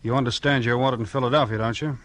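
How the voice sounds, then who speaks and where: A man's voice: mid-range voice, fairly even intonation, quick pace, a bit bright, natural voice. A man playing a dry, laconic American small-town police chief in a 1940s radio drama. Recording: noisy old 1940s radio recording.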